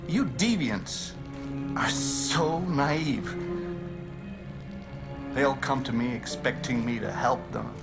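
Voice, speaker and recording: A smug, sinister game villain with a mid-pitched voice that swings widely. He is condescending and mock-polite, drawing out his words as he talks about experimenting on androids. Loud music plays behind him. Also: expressive, slow pace, long pauses. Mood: smug, menacing. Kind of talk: dramatic video game acting.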